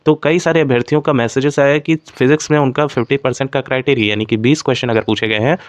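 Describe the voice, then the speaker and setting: Low-voiced man explaining exam analysis. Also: fairly even intonation, quick pace, dry recording. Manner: instructive, addresses viewers directly, mixes in English terms. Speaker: exam coaching presenter.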